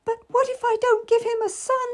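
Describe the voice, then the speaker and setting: A woman's voice: very high voice, expressive, a little slow, darkish tone, faint music behind, pitched above the performer's own voice. Woman voicing a terrified, tearful young noblewoman. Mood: frightened. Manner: trembling, pleading, near tears. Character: frightened naive young noblewoman bride.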